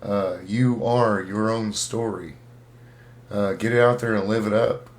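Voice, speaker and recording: A man hosting a casual writing show, talking to his listeners in a halting way as he thinks aloud. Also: fairly low pitch, fairly even intonation. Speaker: host of a writing-tips show. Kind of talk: casual talk to listeners about storytelling.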